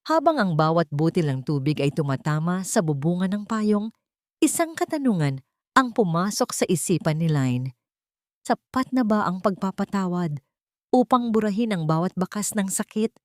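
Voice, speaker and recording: A woman's voice: low-pitched, darkish tone, no echo, clean studio sound. A woman reading a scripted dramatic story in an earnest, sentimental way. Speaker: audio drama narrator.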